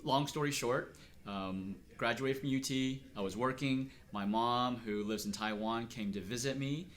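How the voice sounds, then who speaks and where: A middle-aged man from Texas, speaking earnestly and at a measured pace as he is interviewed about his life and work. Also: mid-range voice, a bit bright. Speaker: interviewee, acupuncturist. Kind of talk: reflective personal interview.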